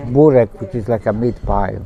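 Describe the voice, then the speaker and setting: A man in his seventies, born in Macedonia and raised in Zagreb, tells his personal story in halting, simple non-native English. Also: mid-range voice, phone-quality sound. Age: 70s. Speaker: interviewee. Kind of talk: personal testimony in conversation. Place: outdoors at a pilgrimage site.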